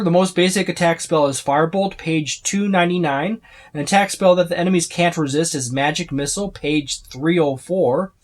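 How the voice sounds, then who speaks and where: Casual, rambling tabletop game reviewer. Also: medium pitch. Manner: rambling, repeats and lists items. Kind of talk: casual unscripted book overview.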